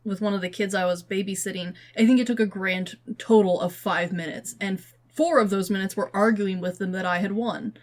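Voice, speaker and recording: A young woman talks as a podcast co-host in an informal, animated way. She leans on slang and filler words like "like", and piles on playful exaggeration as she goes. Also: mid-range voice, a little fast, few pauses. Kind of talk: casual conversational podcast chat.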